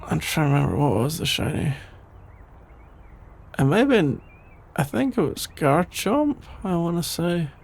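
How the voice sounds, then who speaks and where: A man's voice: a little high, very animated, creaky, husky, full character voice. A young man playing a shy, sleepy, easily embarrassed best friend in an intimate ASMR roleplay, speaking hesitantly and trailing off. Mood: casual, nostalgic. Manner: hesitant, halting, trailing off with sighs and nervous chuckles. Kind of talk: intimate ASMR audio roleplay, improvised-sounding dialogue.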